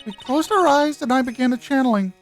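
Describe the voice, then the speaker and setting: A woman's voice: sits high, animated, brisk, soft background music, voice pushed up, low-quality recording. A woman voicing a distraught, guilt-ridden teenage girl, a fragile spirit medium in a video game, earnest and emotional. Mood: shaken, recounting.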